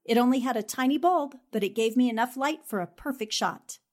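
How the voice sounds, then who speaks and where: A woman acting a sharp, confident newspaperwoman in 1940s radio drama style, crisp and confrontational. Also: fairly high pitch, a little fast, noisy background, lightly acted. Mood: confident, pointed.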